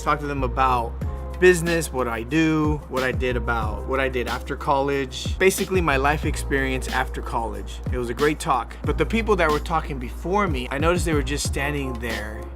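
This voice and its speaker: A man vlogging in a casual, conversational way, giving informal advice to camera with some emphasis. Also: medium pitch. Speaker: YouTube vlogger.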